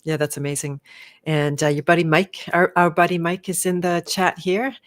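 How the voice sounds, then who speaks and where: A woman's voice: fairly low pitch, steady tone, normal speed. A woman hosting a casual interview over a video call, affirming her guest and restarting her sentences often. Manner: warm, affirming, trailing restarts. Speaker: podcast host.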